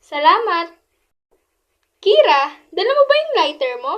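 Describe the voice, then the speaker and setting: A woman's voice: very high voice, sing-song, unhurried, lo-fi sound, exaggerated voice. A woman playing a giddy, mischievous teenage schoolgirl in an amateur radio drama.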